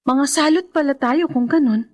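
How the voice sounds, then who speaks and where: Casting: woman as troubled grown daughter, fairly high voice, earnest questioning tone for radio horror drama. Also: moderate pace, natural voice. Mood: dismayed.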